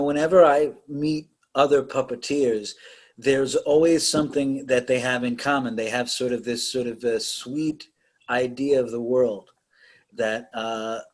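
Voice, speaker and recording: A man hosting a podcast, talking in a loose, rambling way with frequent laughs. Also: medium pitch. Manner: rambling, laughs often, many fillers. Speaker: podcast host. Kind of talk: casual video-call interview.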